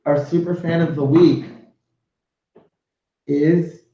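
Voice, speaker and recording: Male host, effusive and profane; high voice, echoey muffled audio. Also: fairly even intonation, takes it slowly. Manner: effusive, swears, laughs a lot. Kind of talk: casual live show chat.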